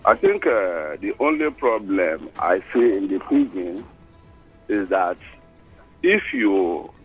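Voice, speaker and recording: A man's voice: deep voice, wildly swooping pitch, slow pace, breathy edge, soft background music. Nigerian man on a tinny phone line. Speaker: caller. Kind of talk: casual phone-in chat.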